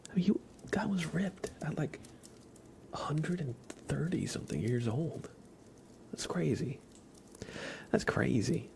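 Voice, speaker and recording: Male ASMR streamer, casual and hushed, dips into whispers, lots of vocal fry, slight background noise. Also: fairly high pitch, animated, a little slow, pauses often. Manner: soft-spoken, often dropping into a whisper. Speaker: livestream host. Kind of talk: ASMR, casual rambling chat with live viewers.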